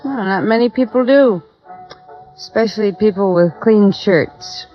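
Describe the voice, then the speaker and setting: A woman's voice: mid-range voice, measured pace, soft background music, natural voice. An actress playing a guarded, world-weary widow, down on her luck and defiant, in a 1950s radio noir drama. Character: bitter, tough, down-and-out young widow. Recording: dull, dry vintage radio recording.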